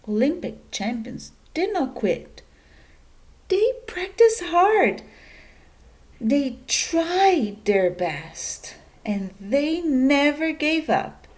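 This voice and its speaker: A woman reading a children's picture book aloud, expressive and dramatic, with emphatic pauses. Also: sits high.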